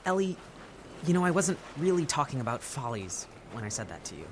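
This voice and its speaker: A man's voice: medium pitch, sing-song, fairly quick, slight background noise, close to an everyday voice. An actor playing an awkward, lovestruck, worrying young theater crewman in an audio drama, hesitant and sheepish. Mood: flustered, sheepish.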